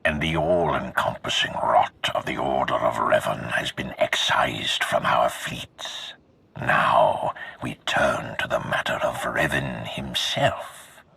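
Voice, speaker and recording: An imposing Sith lord for a video game, extremely deep and badly rasping. He speaks with solemn command, every phrase grave and forceful. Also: slow pace, dry recording, heavily acted. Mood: stern. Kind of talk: dramatic video game acting.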